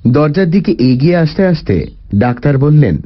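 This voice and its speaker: A man narrating a story in the first person, with an expressive, deliberate dramatic delivery. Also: fairly high pitch, dull audio. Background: faint music.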